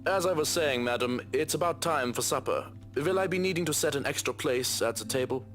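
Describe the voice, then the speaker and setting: Man voicing a formal butler, dry, sardonic, soft music behind. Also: medium pitch, fairly quick, pitched above the performer's own voice, close to an everyday voice. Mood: stiff sardonic. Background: game music.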